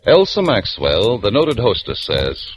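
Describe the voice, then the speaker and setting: Vintage commercial announcer, man, persuasive, animated pitch, faint music bed. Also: unhurried. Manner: smooth, persuasive salesman cadence. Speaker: sponsor announcer. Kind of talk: scripted radio commercial.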